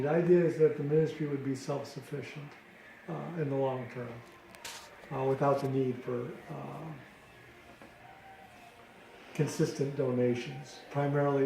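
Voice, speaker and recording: A middle-aged American man from Detroit giving earnest, deliberate explanations in an informal interview. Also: mid-range voice, mellow. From Detroit, United States. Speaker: interviewee, ministry founder. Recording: roomy echo with clear background noise.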